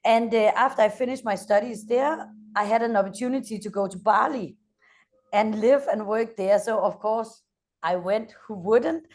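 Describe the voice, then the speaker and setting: A woman from Denmark in her thirties with a Danish accent, speaking as an energetic company founder in an interview and pausing often on "uh". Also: a little high. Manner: energetic, frequent "uh" hesitations. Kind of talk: interview conversation.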